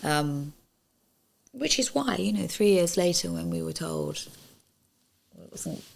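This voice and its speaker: A woman, a former pantomime performer, looking back wryly in a lively, candid way. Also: on the low side, takes it slowly, vocal fry. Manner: wry, animated storytelling. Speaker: former panto cast member. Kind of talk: candid interview.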